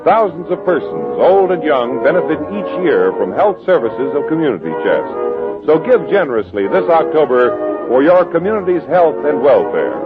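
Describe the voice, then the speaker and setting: A man announcing scripted commercials and credits on an old radio show in a smooth, persuasive way. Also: on the high side, very animated, normal speed. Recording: tinny old radio broadcast with music.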